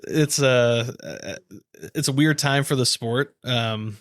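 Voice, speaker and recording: A man co-hosting a sports podcast, talking informally and bluntly in halting bursts. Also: mid-range voice, a bit bright, no echo, clean studio sound. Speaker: podcast co-host. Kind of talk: casual sports podcast chat.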